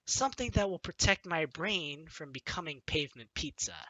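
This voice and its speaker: A man's voice: fairly high pitch, moderate pace, no echo. A man hosting a let's play, reading game text aloud theatrically and commenting on it. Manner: animated, theatrical. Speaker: gaming video host. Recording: music behind the voice. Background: game music.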